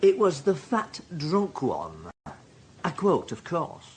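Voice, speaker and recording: An actor playing a probing, insinuating newspaper reporter in radio drama dialogue. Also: male voice, fairly high pitch, huge pitch swings, takes it slowly, slight background noise, exaggerated voice. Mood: sly, probing. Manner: insinuating, conversational.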